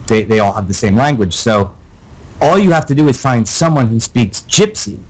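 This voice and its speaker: A male co-host of a casual radio show who talks in a dry, halting way. He stumbles through news stories with plenty of ums and uhs, at an average pitch. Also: lively intonation, darkish tone, breathy edge, poor audio. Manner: dry, hesitant, many fillers while reading. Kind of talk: casual banter and reading news items.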